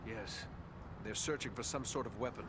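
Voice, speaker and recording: A man's voice: lowish voice, normal speed, very noisy, natural voice. A man acting a grave, earnest heroic ranger in a fantasy video game, questioning and commanding his companions. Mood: grave, urgent. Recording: loud music over dialogue.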